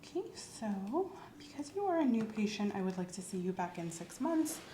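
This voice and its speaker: A woman playing a calm doctor in an ASMR medical roleplay, speaking softly and methodically as she explains an exam. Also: mid-range voice, moderate pace, roomy sound, a little hiss or hum, lightly acted, rough recording. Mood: calm, professional. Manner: gentle, methodical, clinical explanations. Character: calm, professional female doctor doing a patient exam.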